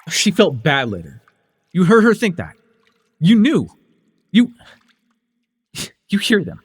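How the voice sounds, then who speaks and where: A man's voice: high voice, huge pitch swings, takes it slowly, breathy edge, full character voice. A man playing a sardonic telepath villain who is a reluctant, protective mentor in a superhero audio roleplay, intense and emotive. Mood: stern, angry. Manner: intense, emotive, swinging between biting and tender.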